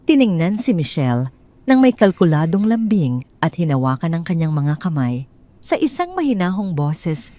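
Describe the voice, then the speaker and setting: A woman with a Filipino accent reading a scripted love drama as a storyteller, with measured, dramatic delivery. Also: on the low side, a little mellow. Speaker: storyteller, narrator.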